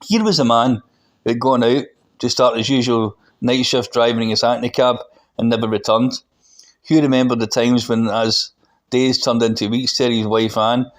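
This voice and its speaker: A man's voice: mid-range voice, little pitch movement, moderate pace. Man reading a story aloud. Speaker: author reading own book. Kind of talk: audiobook-style story narration with dialogue.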